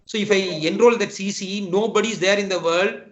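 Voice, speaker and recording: A man with a high voice and a Tamil Nadu Indian English accent lectures students over a dull, lo-fi online call. He talks earnestly and repeats his points, often calling his listeners "my dear friend". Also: fairly even intonation, fairly quick, a bit bright. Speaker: guest speaker. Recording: dull lo-fi online talk audio.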